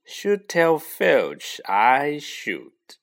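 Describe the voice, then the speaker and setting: A man voicing a mischievous poltergeist in a storybook, taunting in a drawn-out, gleeful sing-song. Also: a little high, wildly swooping pitch, vocal fry, a bit bright, full character voice.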